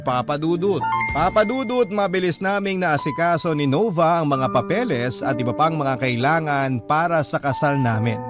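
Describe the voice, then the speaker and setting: Man acting sincere devoted husband, slightly high voice, Filipino accent, measured radio drama narration, clear music bed. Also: close to an everyday voice. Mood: proud, sincere. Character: sincere, devoted husband telling his love story. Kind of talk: scripted first-person radio drama narration. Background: background music.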